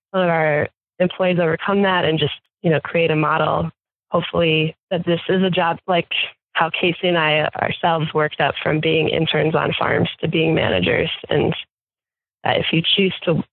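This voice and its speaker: A woman's voice: low-pitched, no room sound. A woman farm manager talking casually in an interview, chatty with fillers and restarts. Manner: chatty, many fillers and restarts, laughs often. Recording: tinny phone line, dry.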